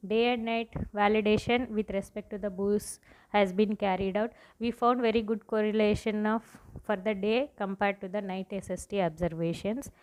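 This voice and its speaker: A woman with an Indian accent presents scientific results in a clear, smooth voice. Her delivery is formal and explanatory, with halting stretches where she goes back over her phrases. Her pitch sits at an ordinary middle level for a woman. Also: darkish tone, rough recording. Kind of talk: scripted academic presentation.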